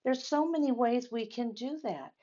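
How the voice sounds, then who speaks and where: A woman teaching and reading a story aloud to young children, speaking in simple, deliberate phrases and asking them questions. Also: fairly high pitch, mellow, dull audio.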